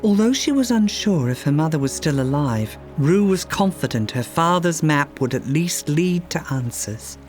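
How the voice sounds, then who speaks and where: A storyteller giving an expressive scripted reading of a children's fairytale, with music underneath. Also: mid-range voice, moderate pace, hardly any pauses.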